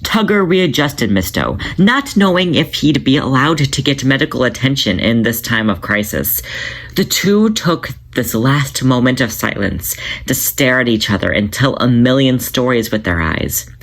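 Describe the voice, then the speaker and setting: A man reads his own fan fiction aloud in a steady, measured way. His voice sits at an ordinary middle pitch, with a plain homemade audiobook feel. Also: vocal fry. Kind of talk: podfic story narration.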